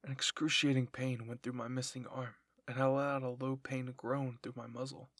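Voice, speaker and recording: A man reads fan fiction aloud in an intense, emotive style. His voice is husky and breathy, recorded dry and close with no room sound. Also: medium pitch, level tone, normal speed, fairly bright tone, dry recording. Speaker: narrator of fan fiction roleplay. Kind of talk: dramatic first-person story reading.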